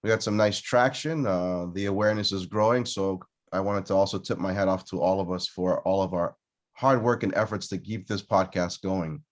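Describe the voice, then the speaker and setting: A man's voice: low-pitched, moderate pace. A man hosting a podcast, introducing his guest with an upbeat tone and frequent fillers. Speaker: podcast host. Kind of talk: casual podcast interview.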